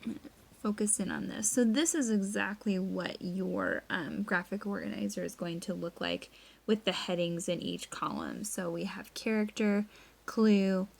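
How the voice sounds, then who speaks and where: A woman's voice: medium pitch, normal speed, creaky, darkish tone. A woman teacher leading a read-aloud lesson for pupils, reading expressively and guiding them through a story. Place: indoors at a laptop.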